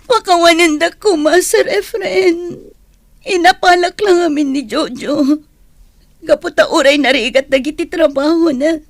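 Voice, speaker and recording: An envious woman office schemer with a very high, emotive voice, recorded close and dry. She draws out her words in melodramatic radio-play style. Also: measured pace, no echo. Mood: tearful pleading. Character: scheming envious female office worker, pleading and remorseful when caught. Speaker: radio drama actress. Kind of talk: Filipino radio drama acting in Ilocano.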